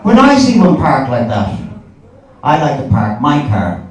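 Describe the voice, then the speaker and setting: Echoey noisy live-venue recording, Irish man in his forties, stand-up comedian, animated swooping delivery, wry and sweary. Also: medium pitch, measured pace, far from the mic. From Ireland. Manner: sweary, wry storytelling with acted-out dialogue. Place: indoor comedy venue before a live audience.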